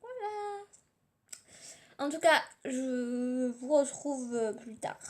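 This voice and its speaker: A tired, slightly hoarse young woman, rambling casually through a home vlog on her tablet, full of fillers and restarts. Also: high voice, animated, drawn-out words. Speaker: vlogger. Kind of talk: casual daily vlog chat. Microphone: tablet camera.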